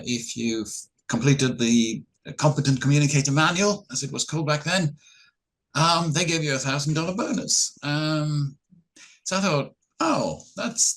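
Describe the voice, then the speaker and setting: A man's voice: medium pitch, fairly bright tone, rough recording. Hesitant man, chatty. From Norway. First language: Norwegian. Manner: hesitant, many ums and uhs, chuckles, self-deprecating. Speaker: interview guest. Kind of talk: relaxed conversational answers.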